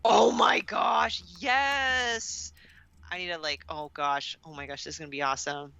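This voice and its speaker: A woman's voice: lowish voice, steady tone, unhurried, gravelly, pushed and bright, clean tone, no room sound. A woman co-hosting a podcast in casual chat, with a self-deprecating, exclamatory way of talking. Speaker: podcast co-host.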